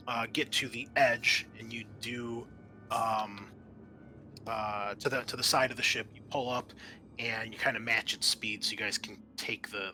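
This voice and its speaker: A man working as game master, narrating and explaining a tabletop game in a halting, conversational way. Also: on the low side, sharp tone.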